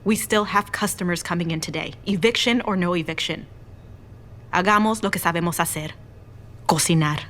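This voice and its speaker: An actress playing a stressed, stubborn young Mexican American restaurant owner in an audio drama, exasperated and expressive. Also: female voice, on the low side, fairly quick, slight background noise, natural voice. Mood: resigned, determined. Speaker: lead actress in romcom drama.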